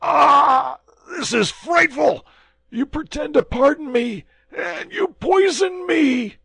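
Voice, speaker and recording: A man voicing a dying young soldier who cries out in high, strained anguish, accusing his master. The voice sits far above the reader's own. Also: slow, vocal fry, pushed and bright, no echo, heavily acted.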